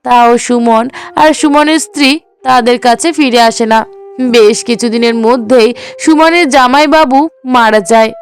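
A woman with a high voice narrates a cartoon story. Her delivery is scripted storytelling. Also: normal speed.

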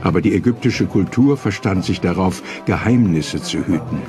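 A man's voice: low voice, animated, moderate pace. A man reading a scripted voice-over for an expert in a documentary. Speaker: German voice-over for an interviewed expert. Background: music behind the voice.

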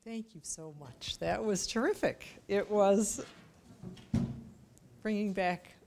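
Middle-aged woman, medium pitch, informal speaker, frequent restarts, light background ambience. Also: vocal fry, a little mellow. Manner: halting, restarts phrases often. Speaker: speaker leading a talk and question session. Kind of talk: informal public talk, taking questions. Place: indoor meeting room with audience. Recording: room ambience, some background noise.

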